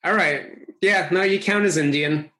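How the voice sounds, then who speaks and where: A man born in Russia talks as a comedy podcast guest. His voice sits a little high, and he rambles through his explanations before landing his jokes deadpan. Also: moderate pace. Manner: rambling, deadpan joke delivery. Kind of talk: casual podcast banter.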